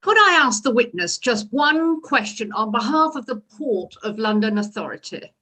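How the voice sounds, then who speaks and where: A woman's voice: on the high side, sing-song, normal speed, sharp tone, full character voice. A woman reading a polite, businesslike counsel questioning a witness in a courtroom play. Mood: polite, probing. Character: polite, businesslike female counsel for a port authority. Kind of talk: table read of a courtroom play.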